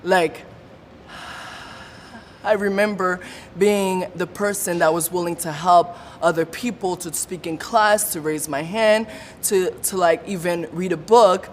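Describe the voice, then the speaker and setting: A woman telling her own story of living with a stutter, with repeated sounds, blocks and pauses. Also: fairly even intonation. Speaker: support group member sharing her story. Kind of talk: personal testimony. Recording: long room reverb with faint low rumble.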